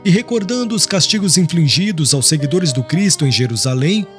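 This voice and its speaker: A man narrating a literary audiobook in a solemn, expressive, scripted manner. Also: fairly high pitch, brisk. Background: music.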